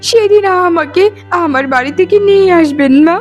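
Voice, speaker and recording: A woman's voice: very high-pitched, normal speed, dull audio, over music. A woman voicing an earnest, wronged young daughter-in-law who pleads piously in a melodramatic cartoon. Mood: hurt, resigned. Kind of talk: melodramatic cartoon moral-story voice acting.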